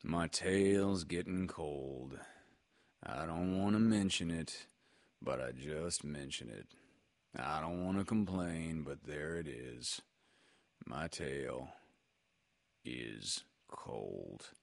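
A man voicing a gloomy old male donkey who grumbles slowly and mournfully. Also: rumbling low, animated, gravelly, no room sound, pitched below the performer's own voice, exaggerated voice.

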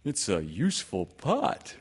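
A man voicing a kindly, slow-witted storybook bear who is childlike, cheerful and earnest, with a halting delivery. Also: fairly low pitch, huge pitch swings, slightly rough, heavily acted.

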